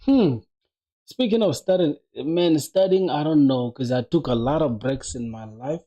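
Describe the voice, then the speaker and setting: A man's voice: fairly high pitch, normal speed, mellow, dull audio. A man in his twenties chatting casually on a coding livestream, slangy and familiar with his viewers, talking to them like buddies. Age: 20s. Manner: slangy, addresses viewers as bro and dog. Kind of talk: casual live-stream chat while coding.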